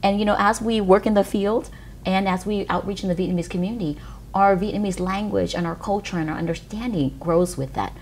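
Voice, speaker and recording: A woman's voice: mid-range voice, fairly quick, a little mellow, a little hiss or hum. An American woman hosting a community TV talk show, chatty and upbeat, using lots of "you know"s. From United States. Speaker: TV show host.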